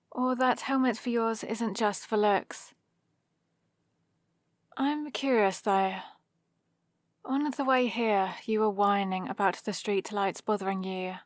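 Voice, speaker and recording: A woman's voice: mid-range voice, dry recording, close to an everyday voice. A woman with a British accent playing a sardonic supervillain who grudgingly cares for an injured rival, in a dry, teasing ASMR roleplay. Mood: dry, clinical. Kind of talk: ASMR roleplay, close and unhurried.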